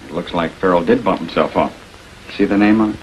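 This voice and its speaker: An actor playing a skeptical police lieutenant in a 1940s filmed crime drama, talking in a blunt, slangy way. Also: male voice, low voice, quick pace, muffled recording, natural voice. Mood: matter-of-fact. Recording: noisy old 1940s film soundtrack.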